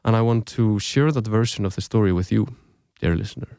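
A young man with an Icelandic accent, a native Icelandic speaker, narrating a scripted podcast as its host in a measured, reflective storytelling way. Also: fairly low pitch, gravelly, warm tone, clear, resonant tone, no echo, studio quality. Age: young adult.

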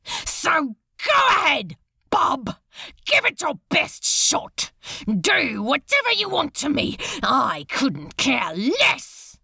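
A woman voicing a defiant male demon who shouts high and fast in fury. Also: huge pitch swings, gravelly, no echo, full character voice. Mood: furious.

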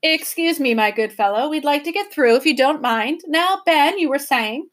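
A woman voicing a polite, steady older professor who keeps the children calm, in a storybook reading. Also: high voice, normal speed, pitched above the performer's own voice. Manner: courteous, encouraging, steady.

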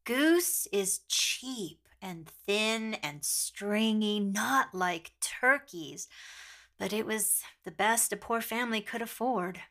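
A woman's voice: mid-range voice, slow pace, pushed and bright. A woman acting a blunt, disapproving character in a radio drama, with emphatic delivery. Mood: blunt, wry.

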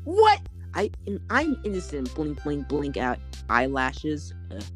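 A woman's voice: low-pitched, wildly swooping pitch, slow pace, soft background music. A woman co-hosting silly banter, giggly and teasing. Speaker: co-host.

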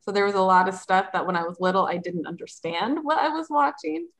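A woman's voice: medium pitch, brisk. A woman co-hosting a casual movie podcast, talking in a chatty way with lots of fillers. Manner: chatty, nostalgic, filler-heavy. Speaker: podcast co-host.

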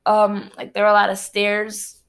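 A woman's voice: mid-range voice, completely flat delivery, normal speed, vocal fry, clean tone. A teenage girl from Cameroon hosting her own podcast, talking in a chatty, rambling, earnest way. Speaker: podcast host. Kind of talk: casual solo podcast chat.

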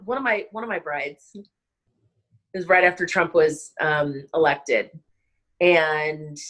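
A woman's voice: mid-range voice, fairly bright tone, low-quality recording. An interview guest, a woman who talks in an impassioned, rambling way and strings her thoughts together with lots of fillers. She sounds stressed and overwhelmed as she speaks. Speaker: interview guest, wedding planner. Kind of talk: emotional conversational interview.

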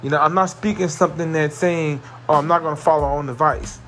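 A man hosting his own podcast, talking earnestly and repetitively about positivity, with a carrying voice that rises into excited emphasis. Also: on the high side, a little fast, soft background music. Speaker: podcast host. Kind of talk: motivational solo podcast monologue.

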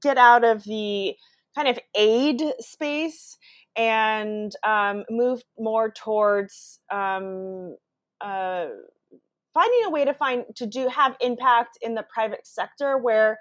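A woman raised in the US and born in Brazil, a guest answering interview questions thoughtfully, with frequent pauses and restarts. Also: a little high, no room sound, clean studio sound.

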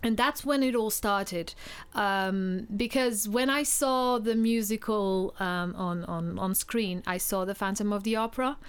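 A young woman from Portugal, co-hosting a podcast, telling her story in a casual, animated way with many restarts. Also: medium pitch, measured pace, few pauses, clear, resonant tone. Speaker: podcast co-host. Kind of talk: casual chat.

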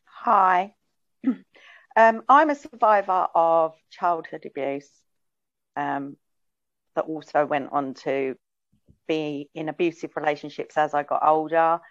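A woman with a lowish voice talks very slowly and softly, pausing on many ums. The audio is muffled and lo-fi, with a dry, close sound and no room echo. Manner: soft, hesitant, frequent ums. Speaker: guest. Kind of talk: personal testimony in a podcast.

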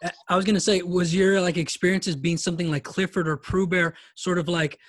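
A male podcast host with a slightly high voice who keeps the chat casual. He laughs often and reacts with quick remarks. The recording is dry and close to the mic. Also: flat delivery, no room sound.

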